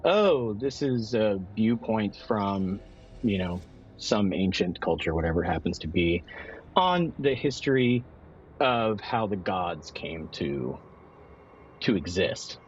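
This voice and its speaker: A man's voice: on the low side, very animated, slow pace, a little hiss or hum. A man playing a tabletop game, improvising a story in casual, explanatory talk that restates itself. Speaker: guest tabletop game player. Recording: dull, slightly lo-fi microphone sound.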